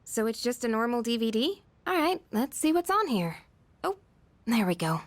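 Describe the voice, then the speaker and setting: A woman's voice: a little high, sing-song, moderate pace, no echo. A woman playing an earnest, troubled teenage schoolgirl in an anime-style game dub. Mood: curious. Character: troubled, earnest teenage schoolgirl fighter, daughter of a late scientist.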